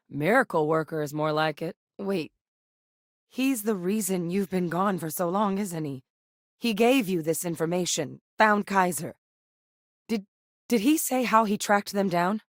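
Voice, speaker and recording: An actress playing a steady, guarded former soldier turned scientist in a video game, speaking in a measured, matter-of-fact way and barking orders in combat. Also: female voice, lowish voice, no room sound, studio quality.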